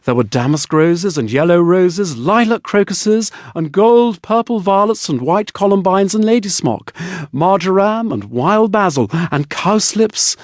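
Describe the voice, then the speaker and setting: A man narrating an audiobook fairy tale in an expressive, scripted storybook style. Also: high-pitched, a little slow, dry recording, studio quality.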